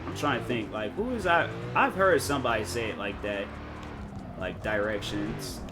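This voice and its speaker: A man's voice: lowish voice, animated, normal speed. A young man chatting casually while streaming a racing game, rambling with many fillers and restarts. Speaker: gaming streamer. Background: game music and car engine sounds.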